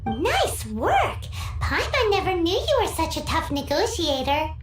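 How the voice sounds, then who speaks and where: A woman's voice: very high voice, huge pitch swings, measured pace, full character voice. A woman voicing a tiny, bratty, chatty fairy companion who whines and talks about herself in the third person. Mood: cheerful. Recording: echoey game audio over loud music. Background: game music.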